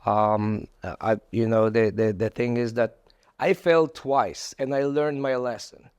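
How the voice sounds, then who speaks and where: A middle-aged man from Poland speaking English with a Polish accent in a conversational interview, haltingly repeating words. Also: mid-range voice, steady tone, darkish tone, clean tone, dry recording. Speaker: podcast guest, entrepreneur.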